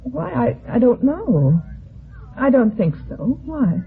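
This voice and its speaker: A woman playing an agitated grieving widow, halting and pleading, in an old-time radio detective drama. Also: on the high side, sing-song, gravelly, husky, exaggerated voice. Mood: distraught, pleading. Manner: halting, breathless protests and repeated denials. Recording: tinny band-limited vintage radio broadcast.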